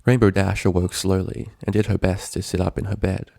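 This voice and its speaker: Deep gravelly man narrating dark fiction. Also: level tone, a little fast, dry recording. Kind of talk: scripted dramatic fiction narration.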